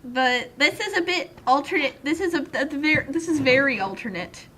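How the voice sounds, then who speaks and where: Female co-commentator, very sharp high voice, excitable and halting, casual comic riffing, faint noise behind. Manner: excitable, halting, repeats and breaks off phrases.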